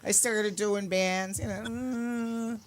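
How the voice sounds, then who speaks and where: A woman's voice: medium pitch, fairly even intonation, takes it slowly. An older woman chats casually in a middle-range voice. She pokes fun at herself and often laughs while talking. There is some noise behind her. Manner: self-deprecating, jokey, laughs often as talking. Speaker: guest, singer and entertainer. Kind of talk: casual chat.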